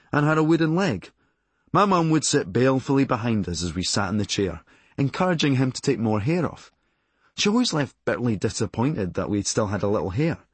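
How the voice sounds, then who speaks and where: A man with a Glasgow Scottish accent reading a scripted memoir, with a deadpan, darkly humorous delivery. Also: medium pitch, husky, no room sound. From Glasgow, Scotland. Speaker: audiobook narrator and author.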